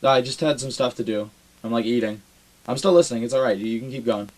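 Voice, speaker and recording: A woman's voice: extremely low pitch, fast talker, a little mellow, low-quality recording. A woman playing a distracted, indifferent friend on the phone, hesitant and offhand. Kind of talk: comedy sketch phone-call acting.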